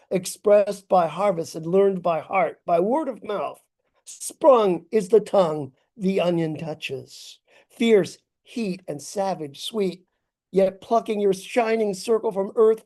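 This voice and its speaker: A man reads his own poetry over a video call, lingering on each phrase at an unhurried pace. His voice is mellow and soft-edged, and his delivery is deliberate and declamatory. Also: high-pitched. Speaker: poet reading his work. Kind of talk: dramatic poetry reading.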